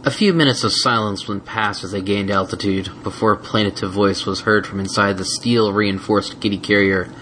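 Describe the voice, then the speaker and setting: A man's voice: lowish voice, fairly even intonation, normal speed, few pauses, a bit bright, slight background noise, low-quality recording. A man reading a fan fiction story aloud as scripted narration.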